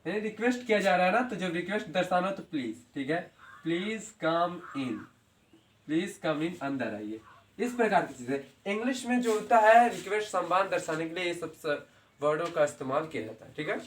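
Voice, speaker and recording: A man teaching everyday English sentences, repeating each phrase slowly and asking if the students follow. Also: high-pitched, animated, roomy sound, far from the mic, slight background noise. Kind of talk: spoken English lesson in Hindi.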